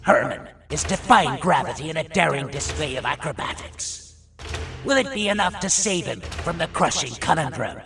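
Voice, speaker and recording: An excitable goblin commentator for a fantasy story. The voice is raised and creaky, leaping up and down with showy, exclamatory relish. Music plays behind it. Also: male voice, fairly high pitch, moderate pace, pitched above the performer's own voice. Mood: gleeful hype. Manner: showy, exclamatory sportscaster delivery. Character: excitable goblin arena announcer, showy and gleeful.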